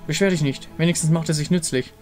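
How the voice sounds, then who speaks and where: A man's voice: fairly high pitch, quick pace, darkish tone, faint music behind, lightly acted. A man voicing a decisive female warrior and loving wife, firm and reassuring.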